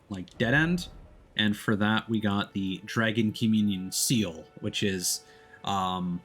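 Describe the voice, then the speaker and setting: Male game streamer, lower-pitched voice, casual and halting as he explains gameplay, music clearly behind him. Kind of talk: casual let's-play stream commentary. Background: background music.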